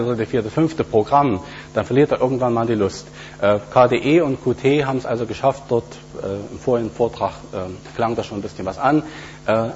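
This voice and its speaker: A man's voice: medium pitch, darkish tone. A man giving a technical conference talk with a live demo, explaining step by step with many hesitations and fillers. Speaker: conference speaker. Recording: dull lo-fi recording with faint hum.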